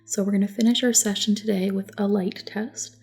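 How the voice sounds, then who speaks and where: A soft, breathy woman gently giving calm, reassuring instructions in an ASMR roleplay, often dropping to a whisper. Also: medium pitch, a little fast, soft background music. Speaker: ASMR roleplayer as a test examiner. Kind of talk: soft-spoken ASMR personal attention roleplay.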